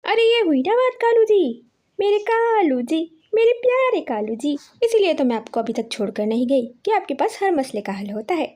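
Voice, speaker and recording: A woman's voice: extremely high pitch, sing-song, moderate pace, a little mellow, pitched above the performer's own voice. A woman voicing a scheming, fawning female crow in a cartoon, with exaggerated, gushing delivery. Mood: gleeful fawning.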